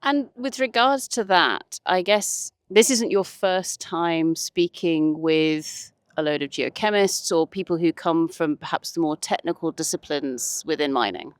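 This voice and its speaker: Low-pitched woman interviewer, dry sound. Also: a little slow, hardly any pauses, clear, resonant tone, no echo. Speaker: podcast host, interviewer. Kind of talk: casual interview chat.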